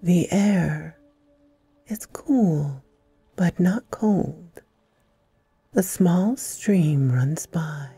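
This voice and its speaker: A woman hosting a guided meditation, speaking in soothing, deliberate phrases with long pauses and a breathy softness. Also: fairly low pitch, no echo, soft background music. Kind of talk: slow guided meditation narration.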